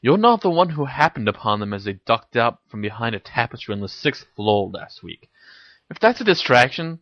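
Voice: mid-range voice, big pitch swings, moderate pace, a bit bright, dry recording, highs cut off. A reader voicing a defensive teenage boy wizard in an audio story, grumbling and petulant.

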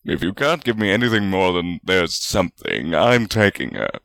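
A man's voice: low voice, very animated, normal speed, creaky, fairly bright tone, exaggerated voice. A man voicing a hearty, stubborn, teasing male wizard spirit with a jovial manner in a storybook reading. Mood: firm.

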